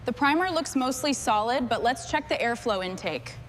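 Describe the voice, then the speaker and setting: A woman's voice: fairly high pitch, expressive, normal speed, fairly bright tone, a little hiss or hum. A woman giving short work remarks as part of a truck restoration team.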